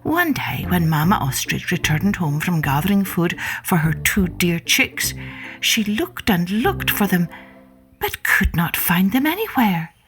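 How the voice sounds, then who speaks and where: Woman storyteller for a kids' podcast: lowish pitch, unhurried, theatrical, very animated phrasing. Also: faint music behind. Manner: deliberate, dramatic, theatrical delivery. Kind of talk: expressive scripted storytelling for young children.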